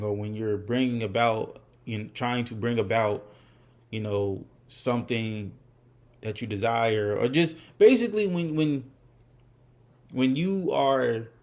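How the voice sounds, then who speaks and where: Candid young man rambling low. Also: a little mellow. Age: 20s. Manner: rambling, with many fillers and restarts; candid and reflective. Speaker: vlogger. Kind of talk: casual unscripted talk to camera.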